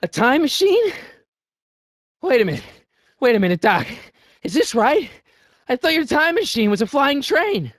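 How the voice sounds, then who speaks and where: An actor playing an excitable, wisecracking American teenage boy from the eighties in a video game, with slangy, youthful delivery. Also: very high-pitched, normal speed, pauses often, no echo, clean studio sound, full character voice. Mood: startled, incredulous. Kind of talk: animated video game voice lines.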